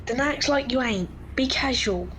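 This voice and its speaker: A woman's voice: high voice, moderate pace, fairly bright tone, slight background noise. A woman playing a cocky, charming teenage boy pickpocket in an audio drama, breezy and swaggering. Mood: brisk, bossy.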